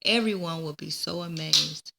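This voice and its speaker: A woman reading a children's story aloud in a deliberate way, now and then stumbling and repeating words. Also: low voice, vocal fry, heavy background noise. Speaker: teacher reading a book aloud. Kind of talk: children's read-aloud narration.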